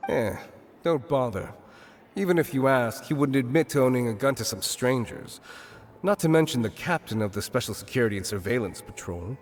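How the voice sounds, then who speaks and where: A man's voice: medium pitch, animated, a little fast, slight background noise, close to an everyday voice. A man as a guarded, sardonic tavern keeper. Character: wary, worldly male tavern keeper of a rough quarter. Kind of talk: video game character acting.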